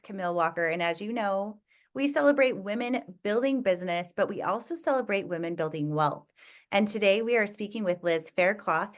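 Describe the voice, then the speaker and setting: Upbeat woman podcast host. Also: mid-range voice. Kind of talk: scripted podcast intro and interview.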